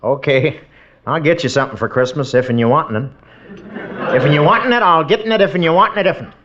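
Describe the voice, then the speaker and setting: A man's voice: on the high side, fast talker, lo-fi sound, background noise, lightly acted. A man voicing a cantankerous old hillbilly husband in a 1950s radio comedy sketch, on an old radio recording. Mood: folksy, teasing.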